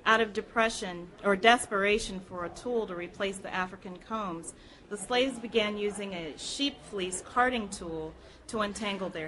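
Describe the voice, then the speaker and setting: Woman reading history aloud, measured and earnest. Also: mid-range voice, fairly bright tone. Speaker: reader at a public library program.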